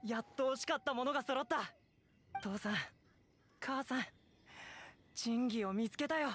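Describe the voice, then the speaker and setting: A woman's voice: high-pitched, fast talker, slight background noise, natural voice. A woman voicing a youthful, boyish bard with a light, musing manner in a Japanese video game. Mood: grateful.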